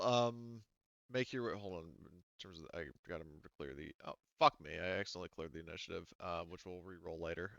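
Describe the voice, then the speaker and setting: A man working as game master, narrating and chatting casually in a halting way, thinking aloud and swearing freely. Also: on the low side, clear, resonant tone, dry recording, lo-fi sound.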